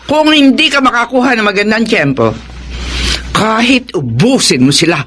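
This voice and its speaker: A Filipino actress playing a rich, ruthless landowner in a radio drama, taunting and commanding. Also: female voice, on the high side, expressive, moderate pace, a bit bright. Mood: taunting, menacing. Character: rich, ruthless, domineering landowner woman giving orders.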